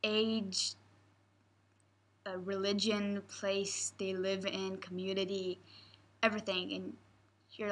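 Bright-voiced teenage girl. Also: medium pitch. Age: teens. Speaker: camp youth leader. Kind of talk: interview answers. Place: indoor room with posters.

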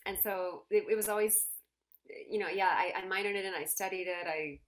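A woman as an interview guest on a video call. She explains things in a friendly, conversational way, with a middle-pitched voice. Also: brisk. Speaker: interview guest, dance teacher. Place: room at home, video call.

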